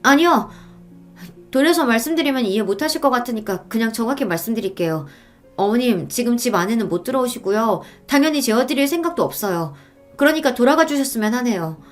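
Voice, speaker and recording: A woman's voice: a little high, animated, fast talker, faint music behind, voice pushed up, lightly acted. A woman voicing a cold, contemptuous younger daughter-in-law in a radio story, curt and brazen.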